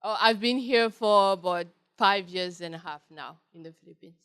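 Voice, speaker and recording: Crisp, dry-sounding young woman from Cameroon. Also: medium pitch, moderate pace, fairly bright tone, dry recording. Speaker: panel guest, recent graduate. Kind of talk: self-introduction.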